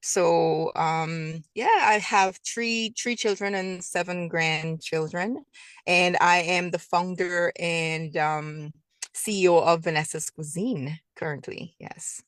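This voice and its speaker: A woman in her fifties from Guyana, a guest in an interview, speaking softly and hesitantly with many pauses. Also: on the low side, steady tone, dry recording. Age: 50s. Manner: soft-spoken, hesitant with frequent ums. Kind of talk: casual interview talk.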